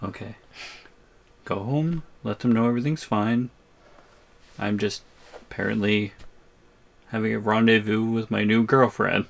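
A man playing an exasperated but protective captive big brother in an audio roleplay, reasoning and pleading in measured tones. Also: fairly low pitch, steady tone, vocal fry. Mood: resigned.

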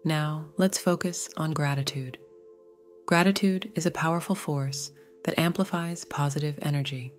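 Low-pitched woman guiding a meditation. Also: fairly even intonation, faint music behind. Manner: soothing, measured, gentle delivery. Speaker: meditation guide. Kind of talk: scripted guided meditation narration.

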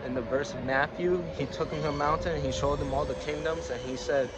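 A man's voice: a little high, steady tone, fairly quick. A young man talking casually to camera in slangy, sweary vlog style, recorded on a phone at arm's length. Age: young adult. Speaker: vlogger. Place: outdoors at a gas station, later inside a car. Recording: loud outdoor and car noise.

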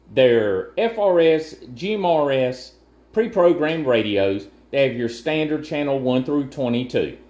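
A man's voice: mid-range voice, warm tone, reverberant. A man presenting a casual gear overview, explaining things in a plain, matter-of-fact way.

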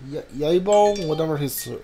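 A man's voice: a little high, expressive, moderate pace, over music. A man doing casual live game commentary, talking to his chat in a hesitant, repetitive, rambling way.

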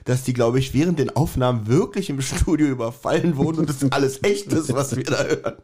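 A man's voice: mid-range voice, fairly quick, dark tone, breathy edge. A male podcast host bantering playfully in a casual chat.

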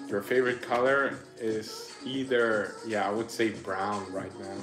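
A man's voice: lowish voice, little pitch movement, a little slow, roomy sound, over music. Chatty young man on a livestream. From Austria. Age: 20s. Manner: upbeat, chatty, thanking viewers. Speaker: livestream host. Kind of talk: casual livestream chat reading donations. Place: living room couch indoors. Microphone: phone camera propped in front.

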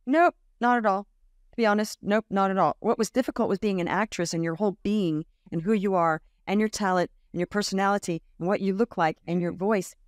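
Animated woman storyteller on a video call. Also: medium pitch, fairly quick, no echo, clean studio sound. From Australia. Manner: animated storyteller, acts out quoted voices, laughs often. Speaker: guest. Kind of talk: casual interview storytelling. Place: home room with bookshelf. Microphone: large studio mic on boom arm, video call.

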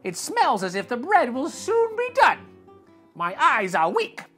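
A man voicing a gnarled, cruel old witch in a high, cackling crone voice for a read-aloud storybook. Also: wildly swooping pitch, measured pace, sharp tone, husky, soft background music, heavily acted. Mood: commanding. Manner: high cackling crone voice, wheedling then barking.

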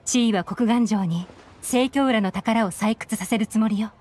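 An actress playing a composed, shrewd young woman investigator in a game, explaining things in a measured way. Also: on the high side, darkish tone, natural voice. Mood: analytical, assured. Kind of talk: Japanese game voice acting. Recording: game music behind the voice. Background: background game music.

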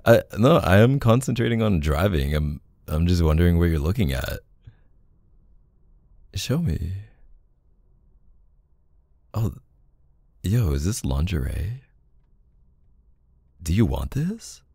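Very deep, gravelly, slow-talking boyfriend. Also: male voice, big pitch swings, darkish tone, no echo, clean studio sound. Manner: hesitant, chuckling, affectionate. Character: playful, bashful, affectionate boyfriend. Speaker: ASMR boyfriend roleplay performer. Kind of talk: intimate casual one-sided roleplay acting.

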